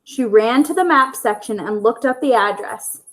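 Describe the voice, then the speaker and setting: Higher-voiced woman reading a children's story. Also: normal speed, a bit bright, poor audio. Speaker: school storyteller. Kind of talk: children's story read-aloud.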